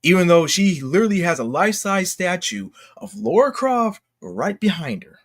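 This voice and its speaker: A man giving unscripted commentary as a YouTuber, talking in a halting, slangy, exclamatory way and often interrupting himself. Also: sits high, sing-song. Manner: rambling, halting, self-interrupting. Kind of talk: unscripted casual commentary rant.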